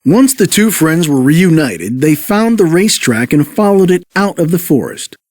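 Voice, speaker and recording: A man's voice: fairly high pitch, sing-song, moderate pace, darkish tone, no echo, studio quality. A man reading scripted narration for a children's read-along story.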